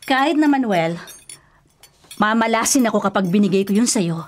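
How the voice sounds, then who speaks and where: An actress playing a stubborn, scolding, superstitious elder sister in a radio drama. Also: female voice, medium pitch, expressive, moderate pace, close to an everyday voice. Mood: firm, evasive. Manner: insistent, scolding.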